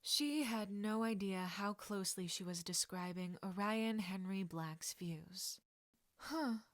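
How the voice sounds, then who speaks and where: A woman's voice: mid-range voice, measured pace, dry recording. A woman reading a scripted piece of fiction aloud as a narrator. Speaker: podfic narrator.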